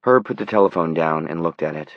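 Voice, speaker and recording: A man's voice: sits low, quick pace, no echo, highs cut off. A man narrating scripted dramatic fiction as an audiobook reader.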